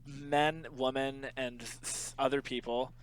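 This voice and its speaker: A man's voice: medium pitch, flat delivery, slow, a crowd in the background. A man co-hosting a podcast, chatting casually in a joking, sarcastic way. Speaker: podcast co-host. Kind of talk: casual chat.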